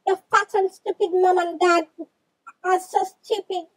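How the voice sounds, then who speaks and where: A woman's voice: very high voice, little pitch movement, a little slow, no echo, pitched above the performer's own voice. A woman voicing a cruel, gloating female babysitter villain in a game roleplay, taunting and bossy. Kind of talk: game roleplay character acting.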